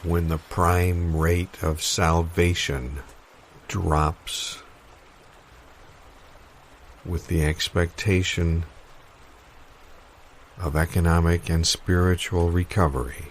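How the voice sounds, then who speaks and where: A man as a very deep, slow, deadpan guru. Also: a little hiss or hum. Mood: solemn. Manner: deadpan, portentous, halting phrases. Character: pompous deadpan guru of financial enlightenment. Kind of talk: improvised audio comedy, mock-meditative pronouncements.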